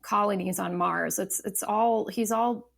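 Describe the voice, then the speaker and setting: A woman's voice: medium pitch, steady tone, a little slow, vocal fry, a bit bright. A woman in her thirties, a novelist talking casually as a podcast guest, thoughtful, with many fillers and restarts. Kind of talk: casual interview talk.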